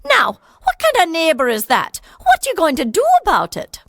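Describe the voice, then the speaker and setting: A woman's voice: high voice, very animated, moderate pace, a bit bright, dry recording, studio quality, exaggerated voice. A woman voicing an indignant, stubborn tiny male fairy, scolding.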